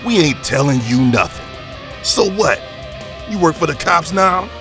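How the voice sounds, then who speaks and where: A man's voice: fairly high pitch, expressive, measured pace, fairly bright tone, faint music behind. A man playing a defiant street criminal thug in an audio drama.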